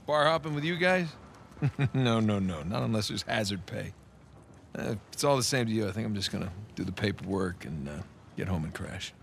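A man's voice: fairly low pitch, lively intonation, a little fast, gravelly, slight background noise. An actor in his thirties playing an easygoing, wry American salvage diver in a video game, joking casually. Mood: wry, friendly. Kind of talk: naturalistic video game acting. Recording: game dialogue under loud engine ambience.

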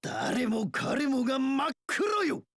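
An actor voicing a brash, rough-talking young pirate warlord in a video game, gravelly, with delinquent gang-boss speech and drawn-out battle cries. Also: male voice, very high-pitched, big pitch swings, fairly bright tone, dry recording, studio quality, heavily acted. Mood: fierce, rousing. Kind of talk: video game character voice lines.

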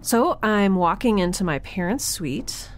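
A woman playing a nervous, wry young scientist who narrates a field log in a halting, conversational way for an audio drama. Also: mid-range voice, lively intonation, a little hiss or hum, close to an everyday voice. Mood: uneasy, observant. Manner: halting, self-interrupting, conversational.

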